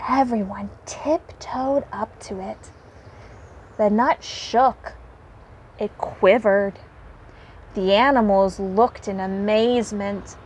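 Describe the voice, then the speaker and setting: A woman reading a children's story aloud in animated storybook narration. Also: mid-range voice, takes it slowly, long pauses, a little hiss or hum.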